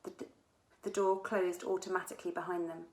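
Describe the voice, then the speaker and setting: A woman author reading her own children's adventure book aloud in an animated storytelling style. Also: on the low side, a little fast. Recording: echoey room.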